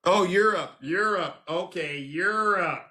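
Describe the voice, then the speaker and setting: A man's voice: sits high, slow. A man hosting a live call-in stream, teasing his callers in a playful way. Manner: playful, teasing, swings between loud and soft. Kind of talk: casual live call-in chat.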